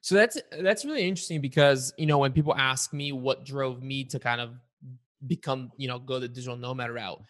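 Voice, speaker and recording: A man's voice: medium pitch, brisk, a bit bright, no room sound, clean studio sound. A man hosting a podcast, born in Bulgaria, chatting casually with a guest and using lots of fillers. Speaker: podcast host. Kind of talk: casual interview chat.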